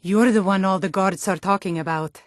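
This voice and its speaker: A close, dry recording carries a woman acting a hardy northern townswoman from a fantasy game. Her medium-pitched voice is earnest and weighty, with the bearing of a town guard delivering short remarks to a passerby. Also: normal speed, dry recording, natural voice. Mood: wary, confiding. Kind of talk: video game character voice lines.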